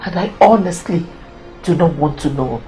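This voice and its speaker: A woman's voice: lowish voice, lively intonation, moderate pace, mellow, soft background music, natural voice. An actress playing a mother and queen who scolds her son and gives orders to her servants. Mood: pleading. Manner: scolding, commanding. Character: stern mother queen of a palace. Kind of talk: melodramatic drama acting.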